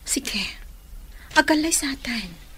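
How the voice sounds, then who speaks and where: A woman with an Ilocano accent playing a gentle, polite young bride in a radio drama. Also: high voice, lively intonation, takes it slowly, slight background noise, heavily acted.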